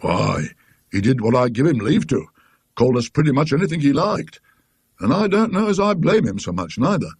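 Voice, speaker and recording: A man acts out a working-class British tram conductor in a storybook ghost tale. He is talkative and indignant yet deferential, rambling emphatically in a high-lifted, husky voice that jumps about. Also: big pitch swings, moderate pace, a little mellow, no room sound, studio quality. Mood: baffled, emphatic.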